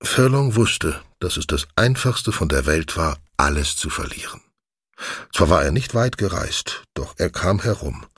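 Low, husky male audiobook narrator. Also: lively intonation, a little slow, fairly bright tone, no echo.

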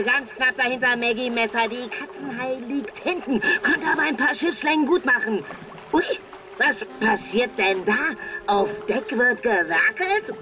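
An actor playing an excited, cheeky race commentator in a children's pirate radio play. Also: medium pitch, lightly acted, rough recording. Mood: excited, puzzled. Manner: exclamatory, dramatic, cheeky. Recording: music behind.

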